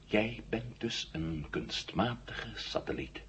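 A man's voice: lowish voice, animated, slow pace, a bit bright, husky, heavily acted. An actor playing a cocky, determined hero questioning his captive in a 1970s radio drama, wry and commanding. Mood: probing. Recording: muffled, noisy old radio drama recording.

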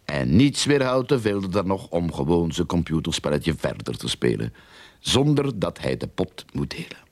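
A cocky Flemish private eye in a radio play. His voice is low and husky, and it swings widely as he probes and explains with sardonic self-assurance. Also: big pitch swings, moderate pace, a little mellow, no room sound, heavily acted. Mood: dramatic, smug. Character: cocky, sardonic male private detective who theorizes boldly. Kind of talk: radio drama acting.

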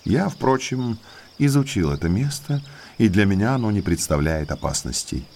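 An actor playing a well-mannered male naturalist in an audio drama, an eager guide to eerie moors who speaks politely and deliberately. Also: fairly low pitch, lively intonation, vocal fry, a little mellow, a little hiss or hum. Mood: grave warning. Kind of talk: Russian audiobook drama acting.

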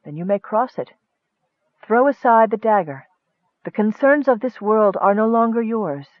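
A man voicing a serene, detached goddess, speaking softly and solemnly in a fantasy audiobook. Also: sits high, lively intonation, no echo, narrow, tinny audio. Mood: gentle firmness. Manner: soft, measured, solemn.

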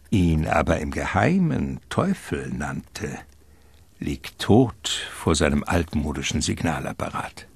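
A man acting as the darkly theatrical, gravelly narrator of a gothic vampire radio play. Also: sits low, exaggerated voice. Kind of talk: literary radio drama narration, gothic storytelling.

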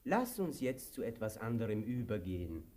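Casting: droll, weary tramp, childlike grumbler, very lively pitch swings, theatrical radio-play style. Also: male voice, moderate pace. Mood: restless, coaxing. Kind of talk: theatrical radio drama acting.

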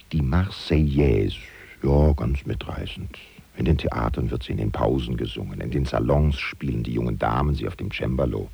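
An actor playing a wry, gossipy valet in revolutionary Paris, confiding ironically in the listener in a theatrical radio-play monologue. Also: male voice, a bass voice, dark tone, heavily acted. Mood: reminiscing. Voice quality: gravelly, husky. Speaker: actor playing a narrator figure.

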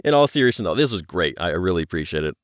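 A man's voice: low voice, big pitch swings, racing. A man talking casually as an interview guest, telling things in an anecdotal way. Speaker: podcast guest, audio drama producer.

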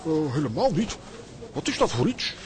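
A man's voice: on the high side, normal speed. A man playing an inquisitive, eccentric comic-strip hero in a children's audio drama. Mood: curious. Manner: lively, inquisitive. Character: eccentric adult male comic-strip hero, curious shopper. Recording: market crowd and birds behind.

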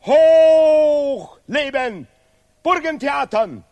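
An actor playing a pompous, sentimental patriotic scholar in an absurdist radio play, declaiming haltingly in broken grammar. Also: male voice, extremely high pitch, expressive, darkish tone, exaggerated voice. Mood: fervent, frenzied. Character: pompous male Nobel laureate scholar, bombastic, sentimental patriot.

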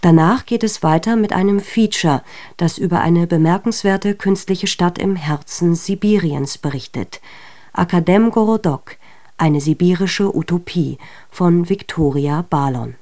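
A woman's voice: on the low side, a little slow, a little mellow. A woman reading a scripted programme announcement as a radio presenter.

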